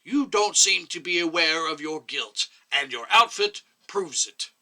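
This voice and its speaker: Man acting stern, sharp-voiced commission chairman. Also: a little high, animated, a little slow, pitched above the performer's own voice, heavily acted. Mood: stern, scornful. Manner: stern, pointed, interrogating. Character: stern, sarcastic chairman of a disciplinary commission, an overbearing male doctor. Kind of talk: short story reading.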